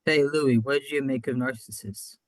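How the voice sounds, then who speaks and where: A man's voice: medium pitch, moderate pace, close to an everyday voice. An older man playing a wisecracking, streetwise newsboy in a radio play, brash and mocking. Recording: faint noise, clicks.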